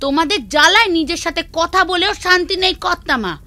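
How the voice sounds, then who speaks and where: A woman's voice: very high voice, fast, pushed and bright, no echo. A woman voicing a cheeky, grumbling housemaid who whines and talks back, in a children's cartoon. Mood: indignant. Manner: whiny, back-talking.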